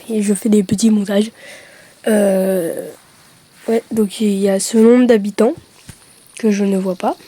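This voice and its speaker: A woman's voice: medium pitch, dark tone. A young woman from France chatting casually to her camera, hesitant with many fillers and self-mocking asides. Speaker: vlogger.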